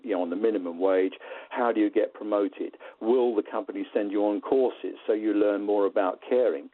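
Man, radio guest, earnest measured speech, lowish husky voice, tinny phone line. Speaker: radio guest, former health trust chairman. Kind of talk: phone-in interview. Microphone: telephone.

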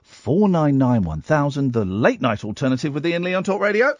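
A man's voice: fairly high pitch, big pitch swings, a little fast, dull audio, heavy background noise. A man in his forties hosting a late-night radio phone-in, playful, self-deprecating and rambling. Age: 40s. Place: radio studio. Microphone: studio microphone.

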